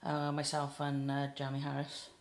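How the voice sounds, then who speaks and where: A woman's voice: low voice, moderate pace. A woman in her late twenties from South Wales, with a Welsh accent, chatting casually as a guest singer-songwriter with lots of fillers. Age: late 20s. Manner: chatty, relaxed, with frequent fillers. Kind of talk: casual interview chat. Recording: roomy echo.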